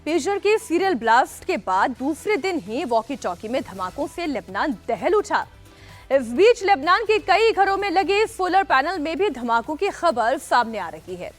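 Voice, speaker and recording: A woman anchors television news in a high, swooping voice. Her delivery is dramatic and emphatic, pressing each point with urgency. Faint music plays underneath. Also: very animated, normal speed.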